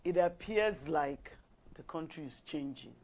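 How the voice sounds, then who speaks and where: Gravelly, mellow woman co-host. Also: low voice, expressive. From Nigeria. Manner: measured, frequent "you know" fillers. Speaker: talk show co-host. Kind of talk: casual discussion on a talk show.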